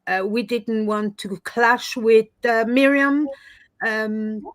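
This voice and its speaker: A chatty woman with a Swiss French accent, her first language French, talking as an interview guest. Her voice sits a little high and sounds close and dry, with no room around it, as on a video call. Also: fairly even intonation, slow, no room sound. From Switzerland, French-speaking. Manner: chatty, self-deprecating, laughs easily. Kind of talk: casual online interview chat.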